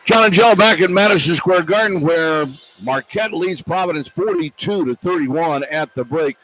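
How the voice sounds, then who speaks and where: A man's voice: a little high, normal speed, a bit bright, rough recording. A man doing live play-by-play radio commentary at a basketball game, recapping as an announcer on an arena broadcast.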